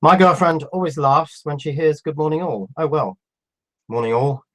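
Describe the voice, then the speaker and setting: A man in his fifties with a cold, reading out viewer questions on a live stream and answering them in a relaxed, halting way. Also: a little high, low-quality recording. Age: 50s. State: has a cold. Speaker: electronics YouTuber answering live chat. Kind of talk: casual live stream chat.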